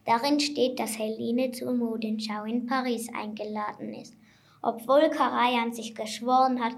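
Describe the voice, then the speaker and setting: A woman's voice: fairly high pitch, vocal fry. A young female narrator reading a scripted children's audio play aloud. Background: music.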